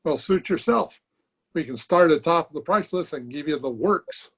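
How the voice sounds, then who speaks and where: Man as a husky, chummy barber. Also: on the high side, animated, normal speed. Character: easygoing, chummy small-town barber. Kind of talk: old-time radio comedy acting.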